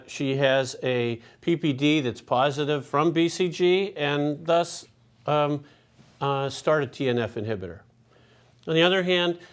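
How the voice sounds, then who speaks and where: Male moderator presenting a clinical case, measured, hesitant pacing. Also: fairly high pitch. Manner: measured, many fillers. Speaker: panel moderator. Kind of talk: case presentation to a medical panel.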